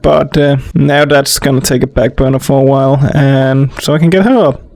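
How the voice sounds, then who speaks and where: A man's voice: mid-range voice, steady tone, normal speed, vocal fry, a little mellow, no room sound. A man giving casual gameplay commentary in an informal, rambling way. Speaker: gamer, let's player.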